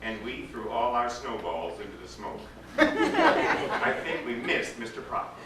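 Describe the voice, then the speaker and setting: A man's voice: mid-range voice, huge pitch swings, a little slow. A man giving a dramatic storybook recital to a live gathering, lingering theatrically on his phrases. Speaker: actor giving a reading. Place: live event before an audience. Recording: roomy echoing live recording, distant microphone, faint noise.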